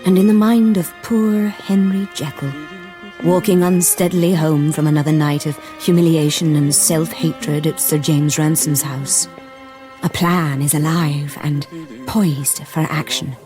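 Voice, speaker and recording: A woman playing a knowing storyteller, narrating a radio drama in a literary, deliberate and dramatic manner. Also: low-pitched, fairly even intonation, warm tone. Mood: vivid, foreboding. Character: knowing, atmospheric female storyteller. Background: music.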